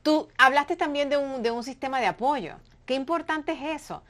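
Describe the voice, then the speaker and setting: A woman's voice: high-pitched, normal speed, fairly bright tone. A woman hosting an interview, who encourages her guest and sums up what she has said. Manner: encouraging, recaps the guest's words. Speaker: podcast host.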